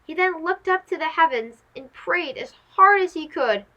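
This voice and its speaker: A woman narrating a children's story as a read-aloud host, expressive, dramatic and clearly phrased. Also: very high-pitched, normal speed, lo-fi sound.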